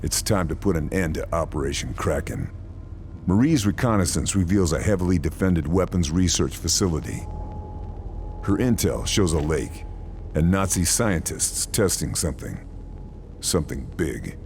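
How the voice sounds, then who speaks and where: A man's voice: sits low, expressive, moderate pace, creaky, darkish tone, a little hiss or hum. A man playing a stoic Allied sniper in a war video game, terse and grave as he narrates his missions. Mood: grave, determined. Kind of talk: scripted cinematic mission narration.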